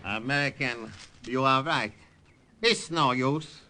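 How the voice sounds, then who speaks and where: An actor playing a gloating Japanese colonel in a vintage radio drama, speaking clipped, formal, stilted English. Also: male voice, mid-range voice, takes it slowly, sharp tone. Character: sly gloating Japanese colonel, wartime villain. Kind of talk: 1950s radio drama acting. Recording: old radio recording with music behind.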